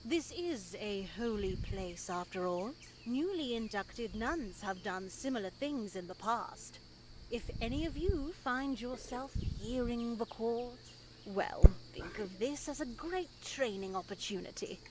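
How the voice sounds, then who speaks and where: An actress playing a stern, pious nun who lectures formally and solemnly, with lingering pauses, in a scripted visual novel. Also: female voice, fairly high pitch, expressive, background noise. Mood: instructive, reverent.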